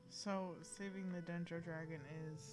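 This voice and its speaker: A woman voicing a steady, reassuring young female traveler in a video game, speaking plainly. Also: lowish voice, moderate pace, close to an everyday voice. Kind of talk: video game character acting. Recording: music behind the voice.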